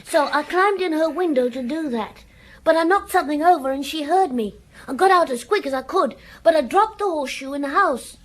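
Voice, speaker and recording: A woman's voice: high-pitched, animated, brisk, rough recording. A woman playing an anxious, evasive village schoolboy in a radio drama, hesitant, naive and defensive. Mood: sheepish, confiding.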